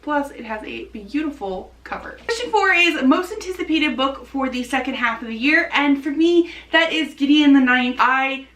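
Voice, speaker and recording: Woman book vlogger, really high voice, chatty and keen, sounds echoey and a bit far from the mic. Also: fairly bright tone. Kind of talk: casual book review chat to camera. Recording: roomy echo, distant from mic.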